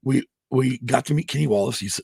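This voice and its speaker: A man co-hosting a casual podcast, hoarse from allergies, who rambles, restarts and swears a lot. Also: medium pitch, fairly quick, a little mellow, no room sound. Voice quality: gravelly, husky. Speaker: podcast co-host. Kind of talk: casual chat.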